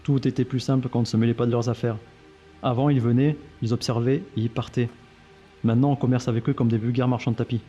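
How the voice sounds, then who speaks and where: A man's voice: mid-range voice, steady tone, fast, mellow, lo-fi sound, faint music behind, natural voice. A man playing a weary, cynical intelligence agent in a French audio drama, with a dry, sardonic, curt manner. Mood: suspicious, weary. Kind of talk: French audio fiction dialogue.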